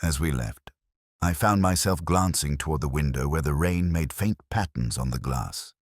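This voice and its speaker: A man narrating a scripted first-person detective story in a measured, brooding, literary manner. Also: a bass voice, no room sound, clean studio sound.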